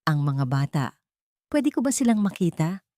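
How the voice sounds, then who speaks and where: A woman voicing a gaunt, remorseful male prisoner who pleads and apologises in a hoarse, broken voice. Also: a little high, big pitch swings, moderate pace, warm tone, no echo, clean studio sound. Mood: pleading.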